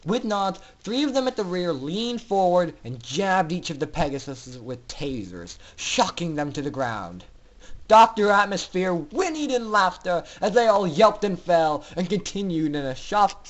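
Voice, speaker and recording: A man's voice: fairly high pitch, very animated, measured pace. A man reading a story aloud as narrator in a theatrical, dramatic style. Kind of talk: dramatic scripted fan fiction narration.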